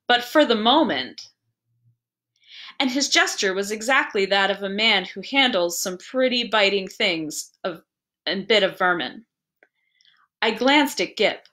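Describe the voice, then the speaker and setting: A woman reading a story aloud as narrator, with an expressive, deliberate storytelling delivery. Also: mid-range voice. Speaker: library staff member reading aloud.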